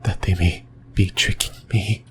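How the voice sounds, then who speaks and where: A man performs a possessive, yearning demon who whispers right up at the listener's ear. The voice is very low and breathy, drifting through halting, intimate musings at a very slow pace. Clear background noise sits under this creepy ASMR roleplay. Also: flat delivery, a bit bright. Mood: uneasy musing. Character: possessive, creepy male sleep paralysis demon, yearning and lonely. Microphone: close microphone.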